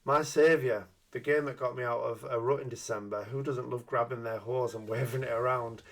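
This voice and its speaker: A man's voice: mid-range voice, steady tone, fairly quick. A man hosting a casual gaming review chat, cheeky and jokey, often laughing at his own innuendo. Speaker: gaming channel host.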